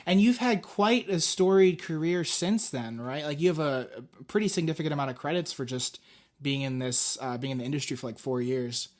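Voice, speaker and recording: A man's voice: on the high side, expressive, a little fast. A man hosting an interview, asking friendly, rambling questions with restarts. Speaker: interviewer, host.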